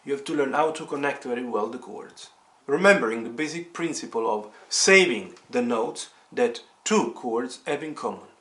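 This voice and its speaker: A man's voice: medium pitch, lively intonation, moderate pace, a bit bright. Man teaching piano step by step. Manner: didactic, step-by-step explaining with repetition. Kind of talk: informal instructional tutorial at the piano. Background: piano being played.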